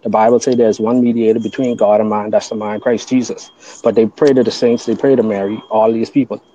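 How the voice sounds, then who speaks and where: A man's voice: on the low side, little pitch movement, brisk, mellow, muffled recording. A man from the Bahamas, a guest on a casual podcast, who explains his beliefs earnestly and at length, often restarting his sentences.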